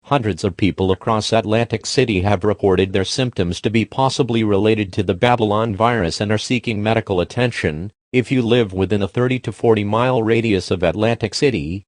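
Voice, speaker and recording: A man reading a scripted emergency broadcast alert in a formal, measured, official manner. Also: on the low side, a little mellow, no room sound, studio quality.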